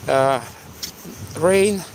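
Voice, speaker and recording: A Ukrainian-accented man whose first language is Russian, live-streaming outdoors in the rain and wind. He talks haltingly, with many "uh" fillers. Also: fairly high pitch. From eastern Ukraine. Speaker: live-stream host and tour guide. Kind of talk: live-streamed walking chat.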